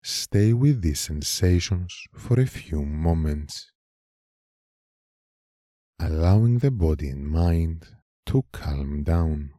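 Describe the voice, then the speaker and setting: A man leading a guided meditation in a calm, measured manner, leaving long pauses between short phrases. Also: a bass voice, no room sound, clean studio sound. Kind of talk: slow guided meditation narration.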